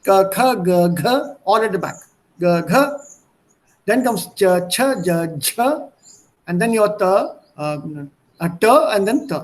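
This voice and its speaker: Male language tutor, Indian accent, high-pitched voice, explains didactically and demonstrates by repetition. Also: animated, measured pace, rough recording. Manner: didactic, repeats and demonstrates sounds. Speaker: language teacher. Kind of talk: online language lesson.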